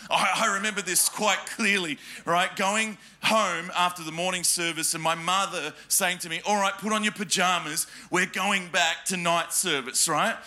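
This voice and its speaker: A man's voice: high voice, fairly even intonation, normal speed, few pauses, pushed and bright. An Australian man preaching a sermon in church, emphatic and joking with the congregation, often asking them questions. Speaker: pastor. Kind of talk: sermon with personal stories. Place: church hall before a congregation.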